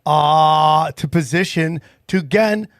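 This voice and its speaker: A man's voice: fairly high pitch, takes it slowly, fairly bright tone, no echo. Fired-up podcast host man. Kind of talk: casual podcast conversation.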